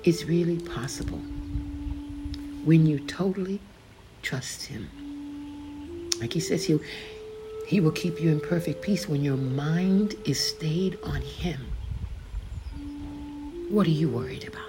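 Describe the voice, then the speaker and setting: A woman's voice: deep voice, slow pace, long pauses, background noise. A woman author and host reading her devotional book aloud, earnest and conversational, calling the listener beloved. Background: background music.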